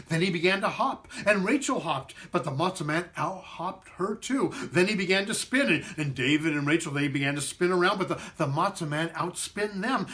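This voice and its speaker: A man tells a children's story with very animated pitch. His delivery is theatrical and expressive, with some halting repeats along the way. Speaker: performing arts director reading a story.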